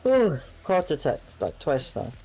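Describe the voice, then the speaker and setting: A man's voice: a little high, wildly swooping pitch, a little slow, a little mellow, soft background music, low-quality recording. A young man, playfully muttering reactions over his game. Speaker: gamer. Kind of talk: let's play commentary.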